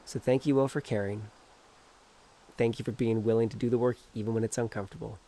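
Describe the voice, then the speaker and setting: A man's voice: medium pitch, steady tone, quick pace, mellow. A man with a cold and a stuffed-up nose, earnestly encouraging viewers as a self-help coach while talking outdoors. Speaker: energy healer and coach.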